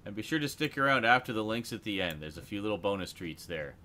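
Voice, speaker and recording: Bright-voiced, animated man hosting a chat. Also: on the low side, fast. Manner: joking, teasing. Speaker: host of a geek chat show. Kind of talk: casual group chat.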